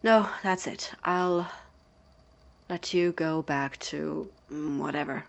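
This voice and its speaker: A performer acts out an exasperated roommate in an audio drama. The delivery is slow and blunt, full of sardonic disbelief. The pitch sits in a middle range. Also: vocal fry. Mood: weary, sardonic. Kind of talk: naturalistic audio drama acting.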